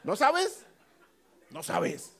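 Brash high-voiced Mexican comedian. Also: male voice, huge pitch swings, extremely slow, gravelly, slight background noise. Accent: Mexican Spanish. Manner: brash, teasing, swearing, loud bursts of shouting. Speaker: stand-up comedian working the crowd. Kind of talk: crowd work stand-up. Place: small comedy club stage with live audience. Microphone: handheld mic.

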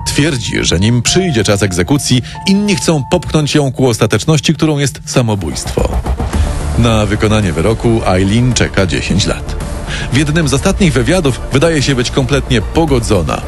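Animated male true-crime narrator over music. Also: mid-range voice, moderate pace. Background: prominent music bed.

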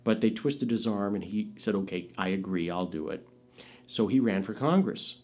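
Brief: middle-aged man, lowish voice, lively anecdotal interviewee, studio sit-down. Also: moderate pace. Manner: animated, laughing storyteller who jumps into high-pitched quoted voices. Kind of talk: anecdotal storytelling. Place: indoors, studio with dark curtain backdrop.

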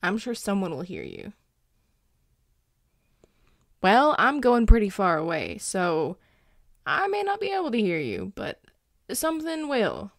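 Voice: medium pitch, huge pitch swings, moderate pace, creaky, fairly bright tone, no echo, full character voice. An actor playing a grumpy but caring werewolf boyfriend in an intimate audio roleplay, dry and exasperated, with sighs. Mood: sarcastic, teasing. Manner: sighing, dry, exasperated, teasing.

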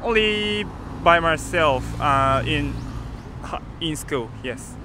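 A young man in his twenties with a Japanese accent, answering in a halting, brief way. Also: a little high, expressive. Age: 20s. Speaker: student interviewee. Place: outdoors by a campus gate. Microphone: handheld mic. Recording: outdoor street noise.

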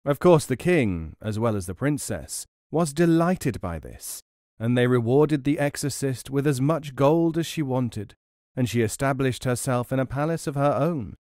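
A man narrates a storybook in scripted audiobook style. His telling is measured and expressive. The sound is dry, with no room on it. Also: medium pitch, no echo.

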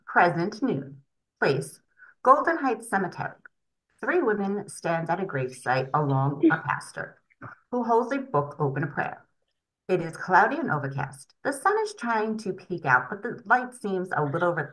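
A woman's voice: lowish voice, normal speed, poor audio. A woman co-hosting an audio drama and reading its stage directions over a video call. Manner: expressive, bright. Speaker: co-host and narrator.

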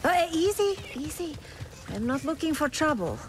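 A woman's voice: high voice, very animated, normal speed, loud music behind the voice. Nervous, rambling bookish woman. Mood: alarmed. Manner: rambling, apologetic. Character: nervous, rambling female field scholar, friendly and bookish. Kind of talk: video game voice acting.

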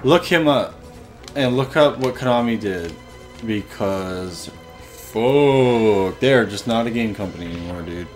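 Excitable swearing young gamer guy. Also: on the low side, unhurried, soft background music. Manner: excitable, swearing, frustrated outbursts. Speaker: let's play host. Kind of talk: casual gameplay commentary.

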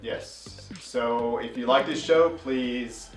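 A man's voice: mid-range voice, slow pace, faint music behind. Roomy-sounding man in casual chat. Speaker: co-host of a comedy trivia show. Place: small furnished room indoors. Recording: roomy echo, slightly distant mic.

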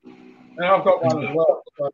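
A man's voice: on the high side, steady tone, a little slow, narrow, tinny audio, faint music behind. A middle-aged Australian man hosting a casual panel chat over a video call from a small workshop. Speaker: podcast host. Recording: roomy, noisy workshop video-call audio.

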